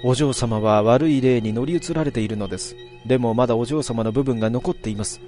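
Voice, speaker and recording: A man's voice: medium pitch, brisk, warm tone, faint music behind, natural voice. A man voicing a loyal female servant who speaks formally and pleads earnestly.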